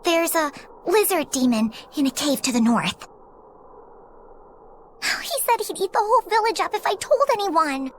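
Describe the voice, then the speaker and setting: A woman's voice: extremely high pitch, animated, moderate pace, a little hiss or hum. A woman voicing a frightened little village girl who stammers nervously in a game. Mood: scared.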